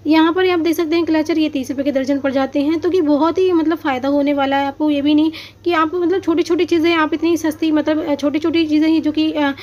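A woman's voice: high voice, fairly even intonation, fast talker, few pauses, darkish tone, highs cut off. A woman shopping vlogger giving a walking tour of an outdoor street market, talking chattily to viewers about prices with many hesitations. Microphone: handheld phone, camera pointed at goods.

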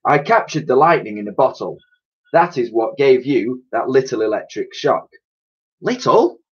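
A man's voice: mid-range voice, normal speed, husky, highs cut off, close to an everyday voice. A man voicing a pompous, self-pitying old male scientist in a children's storybook reading. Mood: boastful. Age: older.

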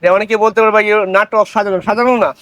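A man's voice: high-pitched, steady tone, quick pace, fairly bright tone. A man with a Bangladeshi accent hosting a promotional shop vlog, often interjecting and repeating what the seller says. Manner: interjects and echoes the seller's words. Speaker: vlog host.

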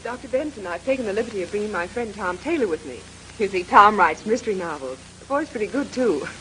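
An actress playing a confident, playful young detective in a 1930s radio drama. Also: female voice, medium pitch, lively intonation, fast, fairly bright tone, natural voice. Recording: noisy vintage radio transcription.

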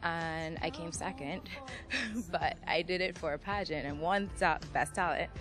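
A woman's voice: fairly low pitch, measured pace. A young woman dancer describing her routine in a backstage interview, speaking hesitantly into a handheld mic. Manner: hesitant, with light laughs. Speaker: dancer in talent show. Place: convention backdrop, handheld mic. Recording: music playing behind.

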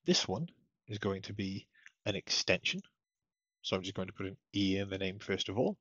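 A man narrating a step-by-step software tutorial in a methodical, explanatory way. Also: sits low, animated, normal speed, husky, dry recording, highs cut off. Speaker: technical support tutorial presenter.

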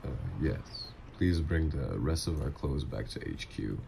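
A man's voice: extremely low pitch, very animated, unhurried, gravelly, noisy background, exaggerated voice. A man voicing a flirtatious, easily flustered, doting mafia boss lover in a slightly nasal, hesitant ASMR roleplay. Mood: composed, doting.

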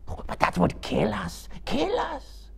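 A man voicing a wretched, hissing, sly and cringing creature with a raspy, creaky voice in a dramatic reading of a story. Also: very high voice, huge pitch swings, slow pace, pitched above the performer's own voice, exaggerated voice. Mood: cringing, pleading. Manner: whimpering and wheedling, broken grammar, repeats himself.

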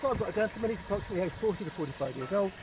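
A man's voice: high-pitched, lively intonation, very fast, darkish tone, a little hiss or hum, rough recording. A man in his forties, an on-duty police community support officer, stays overly polite and keeps stating the time and date for the record. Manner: overly polite, repeats times and dates for the record. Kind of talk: on-duty street confrontation. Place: residential street outdoors at dusk.

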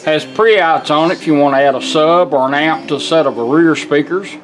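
A man's voice: on the high side, moderate pace. A man giving an informal, plain-spoken product demonstration, folksy in manner. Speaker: presenter, car radio restorer. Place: indoor workshop bench.

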